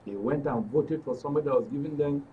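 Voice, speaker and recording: A man's voice: fairly high pitch, quick pace, slightly rough, narrow, tinny audio. A man with a Nigerian accent giving political commentary as a guest, repeating phrases for emphasis. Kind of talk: political discussion. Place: radio and TV studio. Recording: distant mic, faint background noise.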